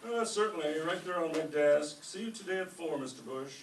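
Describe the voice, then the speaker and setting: Man playing high-voiced grudging school principal, echoey stage. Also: expressive, fairly quick. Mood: grudging politeness. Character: gruff, grudging school principal playing a jolly Santa Claus. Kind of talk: old-time radio comedy acting. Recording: echoey room, distant mic, faint noise.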